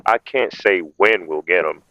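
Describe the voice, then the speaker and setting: An actor playing a frustrated, businesslike vampire hunter in an audio roleplay, reporting in a weary, matter-of-fact way. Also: male voice, deep voice, measured pace, sharp tone, no room sound. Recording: dull, muffled lo-fi sound.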